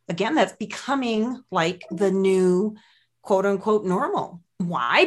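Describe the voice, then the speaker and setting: Brief: woman, 50s, pet health expert, earnest podcast chat, lively delivery. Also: medium pitch. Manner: animated, earnest, swoops up into quoted voices.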